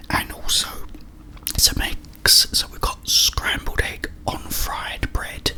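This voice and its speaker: A British man hosting an ASMR ramble in a breathy, unvoiced whisper, chatting casually with slow pauses. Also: sharp tone. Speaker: ASMR video host.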